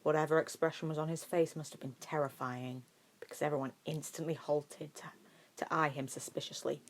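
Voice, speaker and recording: A woman narrates fiction with a low-pitched voice. Her delivery is expressive scripted storytelling in the manner of an amateur audiobook. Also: brisk.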